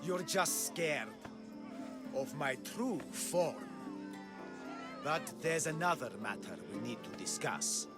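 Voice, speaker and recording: An actor playing a grandiose, deluded self-proclaimed god in a video game, with a pompous, theatrical, declamatory delivery. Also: male voice, fairly high pitch, lively intonation, slow, long pauses. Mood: self-important. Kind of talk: video game cutscene acting. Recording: music playing behind the voice.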